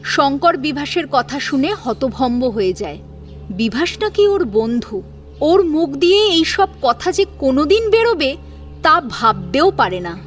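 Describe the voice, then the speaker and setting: A woman's voice: high-pitched, very animated, a little slow. A woman narrating a scripted story in a dramatic storytelling style. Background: background music.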